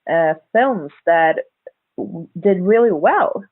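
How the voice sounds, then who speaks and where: A woman speaking as a podcast guest co-host, chatting casually about horror films in hesitant, halting phrases. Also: on the low side, lively intonation, slightly rough, no echo. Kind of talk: casual horror film chat. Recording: narrow phone-like call audio, dry.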